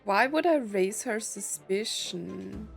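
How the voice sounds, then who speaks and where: A woman's voice: mid-range voice, expressive, slow, gravelly. A woman doing casual let's-play commentary, reacting and thinking aloud in short fragments. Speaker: game streamer. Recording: game music behind the voice.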